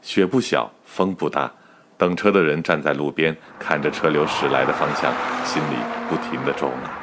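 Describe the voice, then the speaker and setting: A man's voice: very deep voice, fairly even intonation, normal speed, loud music behind the voice. A man playing a wry storyteller, narrating a satirical audio drama in a mock-solemn manner.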